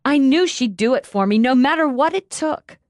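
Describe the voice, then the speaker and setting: A woman's voice: high voice, expressive, moderate pace, dry recording, studio quality, pitched above the performer's own voice. A woman voicing a bubbly, brash, affectionate young woman who gushes in slang, in an audiobook. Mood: earnest, pleading. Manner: gushing, slangy, exclamatory.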